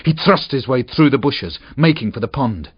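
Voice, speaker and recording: A man with a husky voice reads a short story aloud in an expressive, suspenseful way. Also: medium pitch, moderate pace, dry recording. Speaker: audiobook narrator. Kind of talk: dramatic short story reading. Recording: dull, muffled audio.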